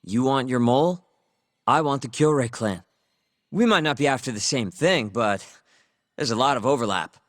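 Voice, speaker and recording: A man's voice: medium pitch, normal speed, pauses often, dry recording, studio quality, close to an everyday voice. An actor playing a cool, self-assured young male hacker in a naturalistic video game scene. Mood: confident. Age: young adult.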